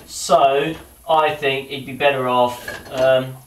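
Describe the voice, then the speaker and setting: A man's voice: medium pitch, steady tone, takes it slowly, fairly bright tone, slight background noise, low-quality recording. Chatty man cooking while talking. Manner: rambling, chatty, mutters to himself. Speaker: boxing vlogger. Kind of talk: casual chat while cooking. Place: small home kitchen indoors.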